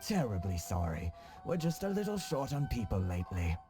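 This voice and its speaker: A man voicing a genial, wily middle-aged nobleman with a courtly, unhurried manner in a video game cutscene. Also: on the low side, sing-song, over music. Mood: sly, demanding. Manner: courtly, unhurried, slyly affable.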